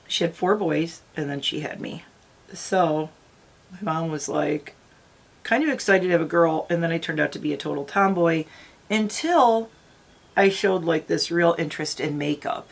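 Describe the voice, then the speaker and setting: Chatty middle-aged woman, slightly raspy. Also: lowish voice. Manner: rambling, chatty, talks straight to viewers. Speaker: beauty vlogger. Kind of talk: casual makeup tutorial chat.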